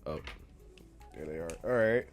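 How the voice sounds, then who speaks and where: A man's voice: low voice, expressive, normal speed, faint music behind. A congested man with a slightly nasal voice, hosting his own casual solo commentary and rambling through restarts with bursts of emphasis. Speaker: host.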